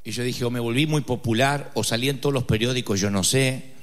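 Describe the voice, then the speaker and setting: Argentine male pastor, mid-pitch, humorous and emphatic, reverberant hall. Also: fairly quick. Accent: Argentine Spanish. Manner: humorous, conversational storytelling with dramatic emphasis. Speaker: preacher. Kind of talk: sermon with personal anecdote.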